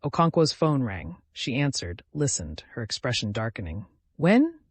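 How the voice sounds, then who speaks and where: A man's voice: mid-range voice, very animated, moderate pace, no room sound. A man narrating a scripted thriller audiobook in a dramatic storytelling style.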